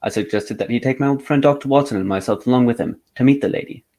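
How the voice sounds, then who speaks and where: A man's voice: medium pitch, quick pace, mellow, natural voice. An actor playing a dry, insistent male English detective with formal, clipped courtesy in a radio drama. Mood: businesslike.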